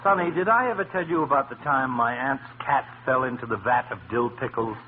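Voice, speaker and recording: Man acting jovial teasing doctor, medium pitch, old-time radio comedy, narrow noisy recording. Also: brisk, natural voice. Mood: genial needling. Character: genial, needling male family doctor.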